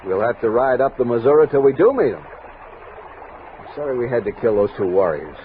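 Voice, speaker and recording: An actor playing a resolute, reflective army captain, narrating a 1960s radio western with dramatic command. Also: male voice, mid-range voice, expressive, a little fast, natural voice. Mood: grave, steady. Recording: noisy tinny vintage 1960s radio recording.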